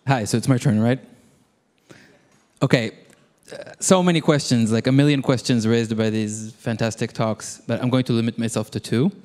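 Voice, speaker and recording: A man's voice: medium pitch, steady tone, moderate pace, darkish tone. A man in his thirties putting an informal question from a conference audience on a handheld microphone. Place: audience seats in large auditorium. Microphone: handheld microphone held close. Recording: reverberant hall sound.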